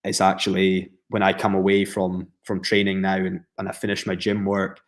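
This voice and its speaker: A Scottish footballer answers at length on a podcast, speaking in a steady, reflective way with many fillers and restarts. His voice sits low and barely moves in pitch. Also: normal speed. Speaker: professional footballer guest.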